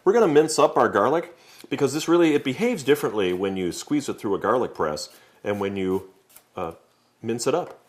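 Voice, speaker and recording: A man's voice: medium pitch, animated, fairly quick. A man working as a TV chef, explaining each cooking step in a wry, articulate way. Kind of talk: cooking show demonstration.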